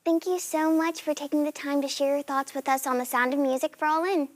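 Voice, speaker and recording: A woman's voice: sits high, steady tone, a little fast. A fourteen-year-old girl, a student co-host, moderating a panel in an upbeat, polite way. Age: teens.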